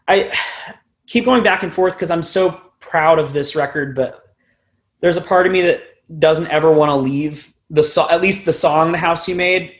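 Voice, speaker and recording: A young adult man talking as an interview guest in a casual, rambling, informal way, with some loose swearing. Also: fairly high pitch. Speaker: band frontman, podcast guest.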